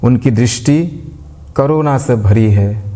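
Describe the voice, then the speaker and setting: A man guides a devotional meditation in a mellow, medium-pitched voice. He speaks very slowly and gently, in short phrases with room to breathe between them. Long reverb surrounds his words. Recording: long reverb, like a large hall.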